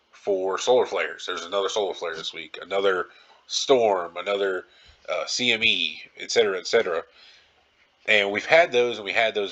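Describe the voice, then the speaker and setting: A man's voice: sits low, pushed and bright, highs cut off. A man hosting a podcast solo in a casual, rambling style, informal and circling back to repeat his phrases. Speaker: podcast host.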